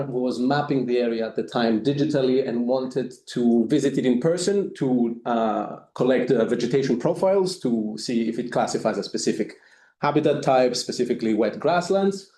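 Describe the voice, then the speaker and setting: A man presents his volunteer work in an unhurried, halting way, with plenty of fillers. His voice is mellow and sits at a middling pitch. The room around him is echoey. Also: a little hiss or hum. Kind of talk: presentation talk.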